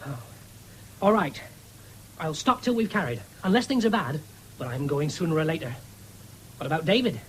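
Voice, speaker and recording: Cocky young farm son, jokey and breezy, old radio drama, bit of hiss. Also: male voice, a little high, animated, normal speed, long pauses, a bit bright, low-quality recording. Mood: resigned, knowing. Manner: bantering, breezy. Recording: 1970s radio broadcast with background noise.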